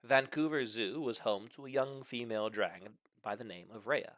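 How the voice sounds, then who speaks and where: Animated man reading a comic story. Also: mid-range voice, normal speed, dry recording, studio quality. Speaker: story reader. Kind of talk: animated scripted narration of a comic short story.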